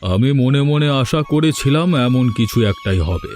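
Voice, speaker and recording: A man voicing a dutiful aging village headman and father in a moral-story cartoon, measured, formal and admonishing. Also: mid-range voice, expressive, a little mellow, natural voice. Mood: measured approval.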